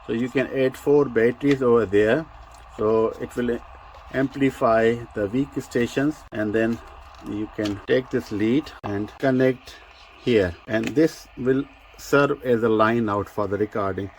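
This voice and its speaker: A man who speaks English as a second language gives an unscripted product demonstration, explaining technical details in a halting way with repeated words. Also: medium pitch, slight background noise, poor audio. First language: not English. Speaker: presenter of a vintage radio review.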